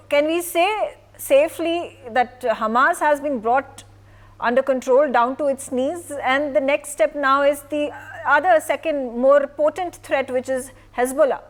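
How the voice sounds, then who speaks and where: A woman's voice: high voice, a little slow. A woman anchoring a live news debate, putting questions in a hesitant, stop-start way.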